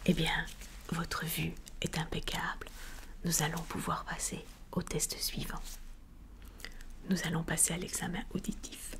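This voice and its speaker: Lady whispering asmr doctor roleplay, super slow n polite, bit of creak in it. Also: low voice, completely flat delivery. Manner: soft, deliberate, formally polite, explaining step by step. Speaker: ASMR creator playing a doctor giving an exam.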